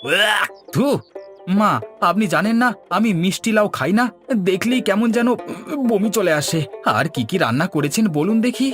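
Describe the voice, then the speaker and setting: A man's voice: sits high, expressive, normal speed, a bit bright. A man voicing a shameless, greedy young son-in-law in a cartoon, with a whiny, fawning manner. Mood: disgusted, huffy. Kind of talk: Bengali cartoon dialogue. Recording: music under the voice. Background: music.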